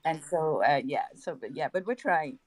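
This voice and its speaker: Upbeat Dutch-accented woman, low voice. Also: expressive, normal speed, no echo. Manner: upbeat, laughs often, hype slang. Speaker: co-host of a crypto livestream. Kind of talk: casual market commentary.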